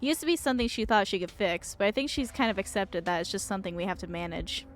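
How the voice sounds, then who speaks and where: A woman voicing a sickly, gloomy young male painter in a game, soft-spoken and resigned, speaking matter-of-factly about death. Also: medium pitch, quick pace, a little hiss or hum, natural voice. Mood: weary, resigned.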